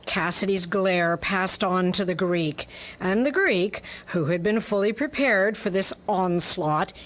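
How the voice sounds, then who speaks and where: A woman's voice: medium pitch, a little slow. A woman reading scripted narration for a radio crime drama as its storyteller.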